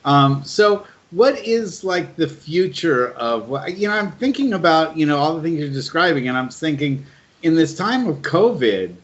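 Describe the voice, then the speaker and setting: A man's voice: a little high, big pitch swings, normal speed. A man hosting a casual interview, talking conversationally and asking wordy questions, on muffled lo-fi audio. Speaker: podcast host, interviewer.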